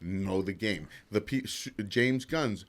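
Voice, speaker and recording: A man's voice: on the low side, slow, fairly bright tone. A middle-aged man co-hosting a podcast, talking in a casual, conversational way. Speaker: podcast co-host. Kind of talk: casual chat.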